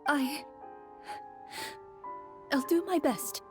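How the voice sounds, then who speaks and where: A reserved, troubled wife from a game town. Her voice is on the high side and hesitant, over faint music. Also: extremely slow, far from the mic. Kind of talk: video game character acting.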